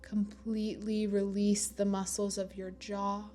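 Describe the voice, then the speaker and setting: A woman leading a guided meditation in a gentle, soothing manner, with pauses between phrases. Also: medium pitch, completely flat delivery, faint music behind. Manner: slow, gentle, soothing delivery with long pauses.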